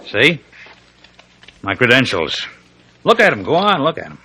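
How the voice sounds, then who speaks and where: An actor playing a hard, wily undercover agent with an American accent and a clipped, insistent delivery, as in vintage radio drama. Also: male voice, medium pitch, huge pitch swings, measured pace, pushed and bright, husky, heavily acted. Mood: firm, insistent. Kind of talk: 1940s-50s American radio drama acting.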